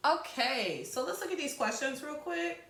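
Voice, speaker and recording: A woman teacher leading a read-aloud lesson, informally addressing pupils with explanatory asides and slightly halting reading. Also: high voice, animated, a bit bright. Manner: halting, self-correcting reading. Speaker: sixth-grade teacher. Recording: echoey room.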